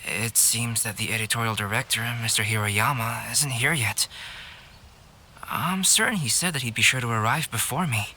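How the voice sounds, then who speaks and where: An actor playing a calm, composed young painter in a video game, speaking in a measured, articulate way. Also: male voice, mid-range voice, a little hiss or hum. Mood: calm, explanatory. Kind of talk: English game voice acting.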